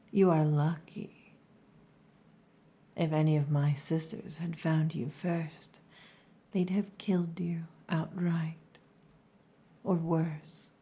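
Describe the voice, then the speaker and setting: Orc wife for ASMR roleplay, played by a woman: low, mellow, breathy near-whisper, strict and possessive caretaker. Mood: stern, teasing.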